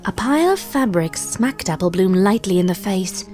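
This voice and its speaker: A woman reading a horror fan story aloud in steady scripted narration. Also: mid-range voice, moderate pace, faint music behind.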